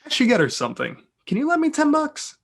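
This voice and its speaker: A man's voice: very high voice, wildly swooping pitch, fast talker, gravelly, full character voice. A man voicing a sweet, goofy, love-struck young plumber in a script read-aloud, with a pleading manner.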